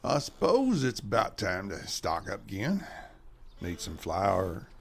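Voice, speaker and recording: A man's voice: on the low side, wildly swooping pitch, unhurried, vocal fry, a little hiss or hum, exaggerated voice. A man playing a folksy, plain-spoken backwoods moonshiner father with a rural Southern US accent in a radio drama. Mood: matter-of-fact.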